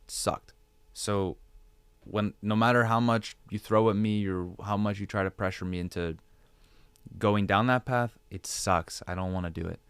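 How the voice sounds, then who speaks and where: A man's voice: lowish voice, fairly even intonation, normal speed, fairly bright tone, clean tone, dry recording. A man speaking as a podcast guest, giving conversational advice with rhetorical questions and repeated phrases for emphasis. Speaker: podcast guest, digital creator.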